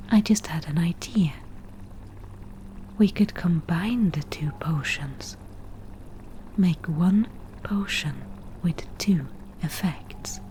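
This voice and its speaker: A woman playing a confident, slightly prickly forest witch brewing potions in a soft, breathy ASMR whisper. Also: on the low side, a little hiss or hum. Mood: musing, eager. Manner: slow, unhurried, murmuring.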